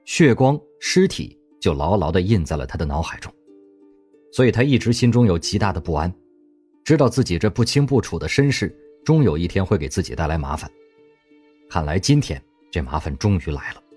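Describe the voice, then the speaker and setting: Audio drama narrator: a man, lowish and breathy voice, expressive delivery. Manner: expressive storytelling. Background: faint music.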